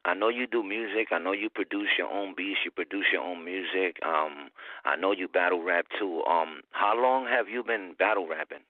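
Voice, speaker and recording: A man's voice: sits low, normal speed, no echo, phone-quality sound. A man hosting a casual interview in laid-back, slangy street talk. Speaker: interviewer, channel host.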